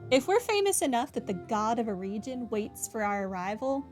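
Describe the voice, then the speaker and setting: High-voiced woman streamer, playfully sarcastic. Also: sing-song, moderate pace, darkish tone. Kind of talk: live game commentary, casual chat. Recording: faint game music behind the voice.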